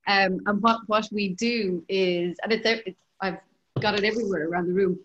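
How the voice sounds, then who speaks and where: A woman talks easily about her startup journey, her voice sitting at an ordinary middle pitch. She repeats words and fills pauses with "um" and "you know" as she thinks aloud. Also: steady tone, muffled recording, noisy background. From Ireland. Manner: chatty, repeats words, many fillers. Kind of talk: casual conversation.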